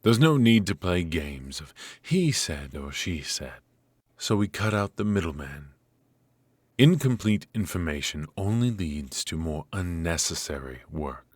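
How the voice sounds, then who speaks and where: Casting: male actor as aloof, commanding royal mage mentor, fantasy audio roleplay. Bottomless husky register, unhurried formal delivery, stern temper. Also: deep voice, very animated, dry recording, exaggerated voice. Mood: curt, exacting. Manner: formal, imperious, measured. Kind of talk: scripted ASMR fantasy roleplay.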